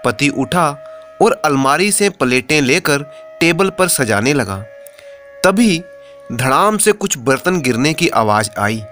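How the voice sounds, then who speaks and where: Male storyteller for comic folk-style tale, slightly high pitch, very measured pace, light music bed. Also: animated, sharp tone. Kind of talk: scripted comic moral story narration.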